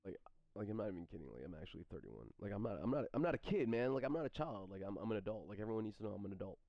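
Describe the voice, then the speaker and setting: Man, thirties, low voice, halting stream chatter full of restarts; dry, dull home-mic sound. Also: big pitch swings, gravelly, dry recording. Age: 30s. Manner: halting, repeats words and restarts often. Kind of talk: rambling stream chat answering chat questions.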